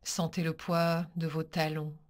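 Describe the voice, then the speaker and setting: A woman with a low voice leads a guided meditation as a yoga teacher. She speaks very slowly and calmly, in short soothing instructions. Pauses separate her measured phrases. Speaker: yoga nidra teacher guiding a practice.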